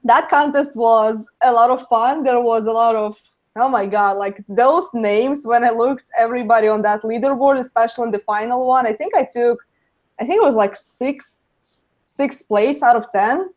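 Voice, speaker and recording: A woman's voice: on the high side, normal speed. Young woman with a Russian accent. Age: young adult. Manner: laughs often, lots of "you know" fillers. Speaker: guest speaker. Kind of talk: casual webinar interview.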